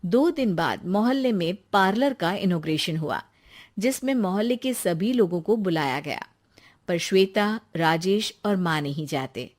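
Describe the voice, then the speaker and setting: A woman narrating a scripted cartoon story in a plain, steady way. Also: lowish voice, measured pace.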